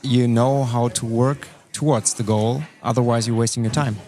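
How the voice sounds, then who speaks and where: A man's voice: mid-range voice, steady tone, normal speed, warm tone. A man hosting a podcast interview, asking friendly questions and repeating words as he forms them. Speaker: podcast host. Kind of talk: conversational interview.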